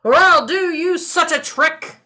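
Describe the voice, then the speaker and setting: A woman voicing a bossy, indignant eight-hundred-year-old man in a storybook, with a croaky, hollering delivery. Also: very high-pitched, measured pace, sharp tone, voice pushed up, full character voice. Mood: loud, indignant.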